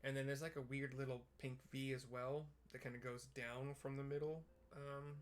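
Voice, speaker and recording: A game streamer with a medium-pitched voice gives improvised visual descriptions. The delivery is casual and halting, often searching for the next word, over a noticeably noisy recording. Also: flat delivery. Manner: hesitant, searching for words in halting fragments.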